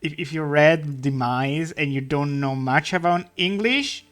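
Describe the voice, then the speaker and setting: Man, streamer, casual rambling chat, slightly high voice, bright tone. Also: a little slow, clear, resonant tone, soft background music. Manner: chatty, rambling, swears. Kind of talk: casual chat while drawing.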